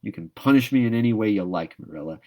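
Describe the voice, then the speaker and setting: A man's voice: on the low side, lively intonation, quick pace, dark tone. A man voicing a proud, passionate young girl in a storybook, dramatic, emphatic and stubbornly unrepentant. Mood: defiant. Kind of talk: storybook read-aloud character voice.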